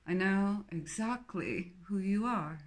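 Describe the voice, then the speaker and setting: A woman's voice: mid-range voice, natural voice. A cold, snobbish young woman with a posh English accent, put on by a woman narrator. She draws out her words with icy, haughty politeness, a slightly raised, careful delivery. Storybook reading. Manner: haughty, drawn-out, icily polite.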